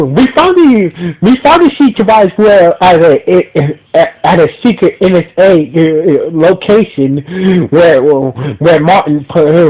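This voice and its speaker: A man with a stuffy, blocked nose talks through a show recap on his own podcast. His voice sits a little high for a man, and he stumbles often, restarting phrases and leaning on fillers as he goes. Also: warm tone, poor audio. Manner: halting, many restarts and fillers, keeps saying "so basically". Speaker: podcast host reviewing a TV episode. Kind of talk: casual unscripted recap.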